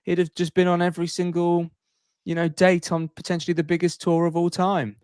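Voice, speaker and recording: A man's voice: high-pitched, level tone, brisk, darkish tone, clean tone, no room sound, studio quality. A man in casual podcast conversation, rambling along in a playful, jokey way. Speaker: podcast co-host.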